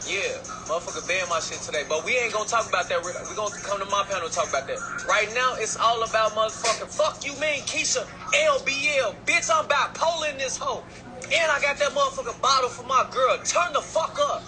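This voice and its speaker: A man's voice: very high voice, fairly quick, over music. A man speaking as a livestream guest, brash, profane and insistent, repeating himself. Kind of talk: loud casual livestream chat.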